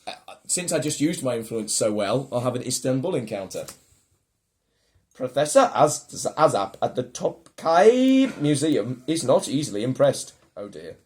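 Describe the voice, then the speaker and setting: A man casually playing a board game with friends, reading cards aloud and getting excited. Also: mid-range voice, normal speed. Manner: excitable, reads card text aloud.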